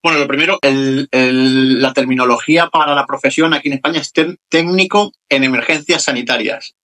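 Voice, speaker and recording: A man of about forty speaks with a Madrid accent in a bright, mid-pitched voice. He answers interview questions in a casual, conversational way. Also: a little fast. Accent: Madrid Spanish. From Madrid, Spain. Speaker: interview guest, emergency medical technician.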